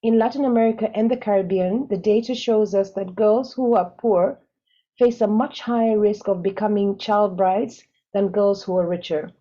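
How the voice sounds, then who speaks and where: A woman's voice: mid-range voice, muffled recording. A woman whose first language is Swahili, moderating an online panel in a chatty, self-joking way with frequent ums. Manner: warm, chatty, laughs at herself, frequent ums. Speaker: webinar moderator. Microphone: video call.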